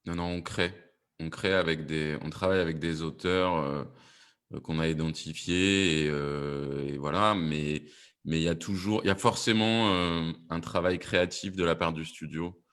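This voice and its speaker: A man with a deep, resonant voice speaks as a producer. He answers as a guest in a panel discussion. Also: steady tone, moderate pace, a bit bright, clean tone.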